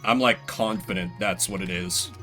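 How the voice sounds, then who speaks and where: A man's voice: low voice, steady tone, moderate pace, pushed and bright, faint music behind. A man giving playful, theatrical commentary as he streams a game, reading its text aloud.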